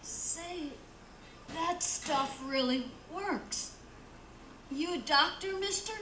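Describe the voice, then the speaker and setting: A woman's voice: sits high, extremely slow. A woman playing a weak, pleading young man in pain in a radio play, with a strained voice. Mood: relieved, curious. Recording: roomy, faint background noise.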